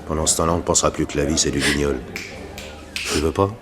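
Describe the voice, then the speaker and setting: A man's voice: very deep voice, steady tone, fast, over music. An actor playing a kindly, lonely lame working man in a 1960s radio drama, blunt and earnest. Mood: indignant, comforting. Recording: faintly noisy old radio recording.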